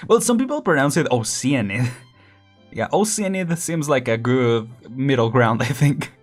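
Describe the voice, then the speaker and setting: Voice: mid-range voice, expressive, normal speed, faint music behind. A streamer giving casual let's-play commentary, chatty and laughing often while thinking aloud.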